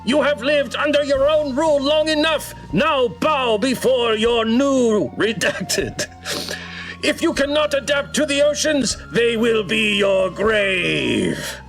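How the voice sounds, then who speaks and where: A man's voice: extremely high pitch, animated, slow, fairly bright tone, soft background music, pitched above the performer's own voice, full character voice, low-quality recording. A man voicing a female sea-creature conqueror in a visual novel, imperious, menacing and grandiose. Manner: grandiose, commanding, theatrical. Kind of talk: acted villain in a visual novel.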